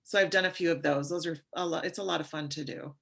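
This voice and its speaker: A woman chatting casually as an actress on a panel, in a halting, thoughtful way. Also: deep voice, fairly even intonation, gravelly, fairly bright tone. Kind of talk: casual chat.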